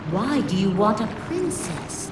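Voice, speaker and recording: Slow, echoing, seductive false queen. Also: medium pitch, very animated, noisy background. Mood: mocking seduction. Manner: slow, drawn-out taunting. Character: seductive, mocking, mad false queen, mature woman. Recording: roomy, distant, loud music behind.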